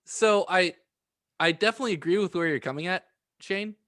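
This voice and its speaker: A man's voice: high-pitched, fairly quick, a bit bright. A young adult man in casual podcast chat who rambles and stumbles over his words, putting himself down as he goes. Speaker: podcast co-host.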